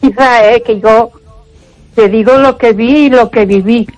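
A woman's voice: on the high side, a little slow, a little mellow, no room sound, highs cut off. Older lady calling in to the radio, keeps repeating herself, lots of "ay", dry sound, faint music behind. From Barcelona. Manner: emphatic, repeats herself, frequent ay interjections. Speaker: radio caller. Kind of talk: casual phone-in chat.

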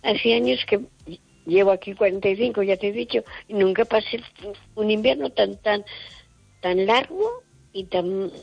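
A woman's voice: medium pitch, normal speed, gravelly, long pauses, breathy edge, dry recording. An older woman from Madrid calling in to a radio show, chatting casually in a rambling, repetitive way over the telephone. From Madrid, Spain. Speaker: radio phone-in caller. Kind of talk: casual chat. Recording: dull, muffled call-in line.

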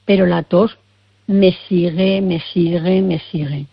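A woman's voice: lowish voice, fairly even intonation, slow, slightly rough, muffled recording. An older woman with a persistent cough, hesitantly explaining her symptoms and often searching for words. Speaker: caller seeking health advice. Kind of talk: casual consultation.

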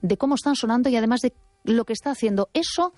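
A woman's voice: on the high side, lively intonation, fast, a little mellow, no echo. A woman hosting a radio talk, arguing her points firmly and correcting her caller. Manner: assertive, corrects and argues firmly.

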